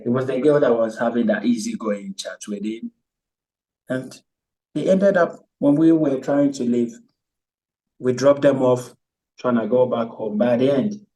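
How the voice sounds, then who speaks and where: A man from Ghana, a guest in a casual chat, telling stories in a hesitant, relaxed way with many pauses and ums. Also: mid-range voice, recorded from a distance, rough recording.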